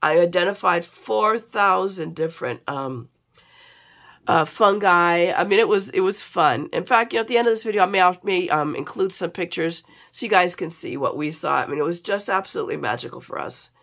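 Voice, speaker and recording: A middle-aged American woman, sick and low on energy, chatting casually and rambling in a confiding way for a craft vlog. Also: fairly quick, creaky, a bit bright. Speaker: craft vlogger. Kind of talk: casual chat in an unboxing vlog. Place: indoors at a craft table.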